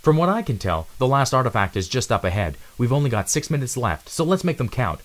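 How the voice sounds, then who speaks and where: A man's voice: medium pitch, sing-song, fast, voice pushed up, close to an everyday voice. A man voicing a serious mouse commander in a storybook reading, speaking with firm authority. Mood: commanding. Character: serious, commanding male mouse squad leader.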